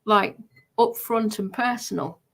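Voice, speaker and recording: Slow-talking, defensive woman. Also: medium pitch, creaky, a bit bright, slight background noise. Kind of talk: casual defensive chat.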